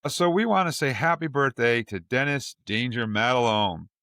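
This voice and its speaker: Mid-pitched man on a dry podcast mic. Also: expressive, normal speed, sharp tone, no room sound. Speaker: podcast co-host. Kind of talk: casual podcast announcement.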